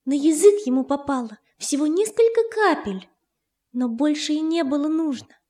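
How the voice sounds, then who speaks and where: A woman reads a children's tale as narrator, measured and expressive. Her voice is high and soft-toned, with an echo around it and faint music underneath. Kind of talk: scripted children's story narration. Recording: echoey studio, faint music behind.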